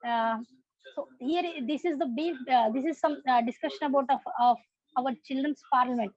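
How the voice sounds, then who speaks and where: High-voiced young-parliament presenter, Indian woman. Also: moderate pace, a little mellow, no room sound. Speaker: youth parliament prime minister, presenter. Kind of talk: prepared presentation. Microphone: video call. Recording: muffled video-call audio.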